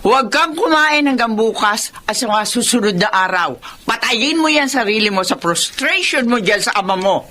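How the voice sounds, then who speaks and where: A woman's voice: fairly high pitch, expressive, moderate pace, sharp tone, a little hiss or hum. A woman playing a self-sacrificing middle-aged career mother in a Filipino radio drama. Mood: exasperated. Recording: music bed behind the voice.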